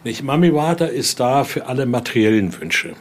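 A man's voice: mid-range voice, very animated, normal speed. An older man explains and tells stories like a tour guide, speaking spontaneously and often adding little questioning tags. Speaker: museum guide and interviewee. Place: indoor exhibition room. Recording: clearly noisy room ambience.

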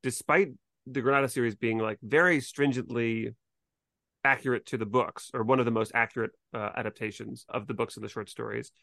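A man hosting a podcast in casual chat, phrasing things informally and a bit haltingly. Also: mid-range voice, steady tone, vocal fry, fairly bright tone, no echo, clean studio sound. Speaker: podcast host.

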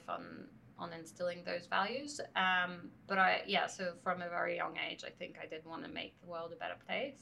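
A woman, answering interview questions thoughtfully with many pauses and restarts, at a conference venue. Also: on the low side, creaky, sharp tone, slight background noise, low-quality recording. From France. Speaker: guest. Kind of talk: conversational interview. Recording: faint background ambience at a summit.

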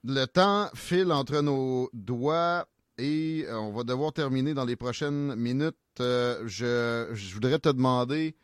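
Quebec French host, dry close sound. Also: male voice, mid-range voice, takes it slowly, clean tone, no room sound. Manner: chatty, with frequent fillers and affirmations. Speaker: podcast host. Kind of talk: casual interview conversation.

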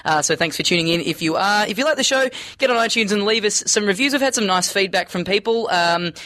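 A high-pitched man hosts a laid-back comedy podcast from a small studio. His voice is bright and sharp, and he talks in a chatty, self-deprecating way. He sits close to a studio microphone. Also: animated, fast. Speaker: podcast host. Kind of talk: casual comedy podcast chat.

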